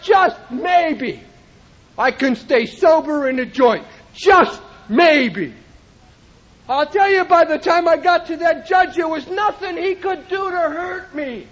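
A man giving an impassioned personal testimony at a recovery meeting, often shouting for emphasis. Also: extremely high pitch, unhurried, dull audio. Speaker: recovery speaker.

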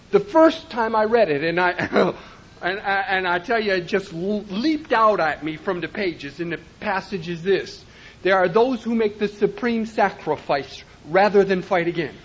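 A man giving an impassioned personal testimony at a recovery meeting, often shouting for emphasis. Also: high-pitched, dull audio. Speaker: recovery speaker.

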